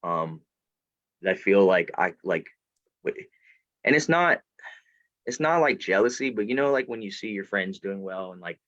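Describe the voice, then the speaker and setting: A man's voice: low-pitched, lively intonation, a little slow, long pauses, fairly bright tone, no echo. A man from Florida, a guest author on a video call, rambling and restarting, swearing casually. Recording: dull dry video-call audio.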